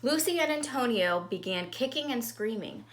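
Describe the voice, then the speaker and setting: A woman reading a children's storybook aloud in an expressive, dramatic, suspenseful way. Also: on the high side, moderate pace. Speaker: storybook reader.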